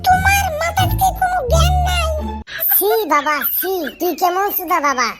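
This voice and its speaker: Casting: man as shrill nagging cartoon mom, furious and protective, very high pitched, northern Bengali accent. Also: huge pitch swings, normal speed, over loud music, exaggerated voice. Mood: outraged. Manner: shrieking, nagging. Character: shrill, furious cartoon mother defending her son. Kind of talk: comedy cartoon voice acting.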